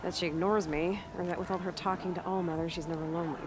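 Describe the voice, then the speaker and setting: A woman's voice: on the low side, steady tone, quick pace, lightly acted. A woman playing a young outcast huntress in a video game, natural and reflective, with dry sarcasm. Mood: musing. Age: young adult. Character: young outcast huntress, resilient, wry, lonely. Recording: loud game music behind. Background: game music.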